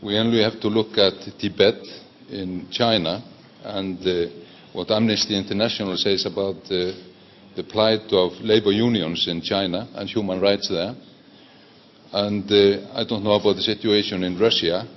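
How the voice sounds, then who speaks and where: A man speaks in a lowish voice and makes his points at a deliberate pace. The recording is dull and lo-fi, with faint noise behind it. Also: fairly even intonation. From Iceland. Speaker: panelist, politician. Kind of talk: conference panel remarks.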